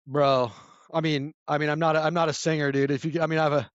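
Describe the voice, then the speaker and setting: Guest spot: raspy fifties man, rapid rambling storyteller, dry dull sound. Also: a little high, level tone, no room sound. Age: 50s. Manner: rambling, many restarts and self-corrections. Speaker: podcast guest. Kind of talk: casual storytelling chat.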